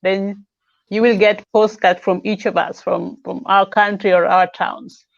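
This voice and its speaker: A woman's voice: mid-range voice, fairly even intonation, unhurried, creaky, no echo. A woman from Kenya hosting a casual video call chat, upbeat and affirming. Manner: upbeat, affirming, laughs often. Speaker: host. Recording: dull lo-fi call audio.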